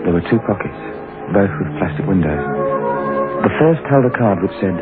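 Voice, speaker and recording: A man's voice: low voice, expressive, moderate pace. An actor playing a desperate, poor unemployed British man, telling his story dramatically in an old-time radio drama. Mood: brooding. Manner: dramatic first-person storytelling, colloquial. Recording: tinny old radio broadcast with music.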